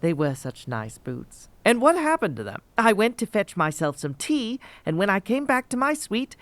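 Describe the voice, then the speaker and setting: Woman acting breezy, swooping young gentleman heir. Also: mid-range voice, big pitch swings, moderate pace, no room sound, close to an everyday voice. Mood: wistful. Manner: airy, genteel, carefree. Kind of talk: comedic audio drama acting.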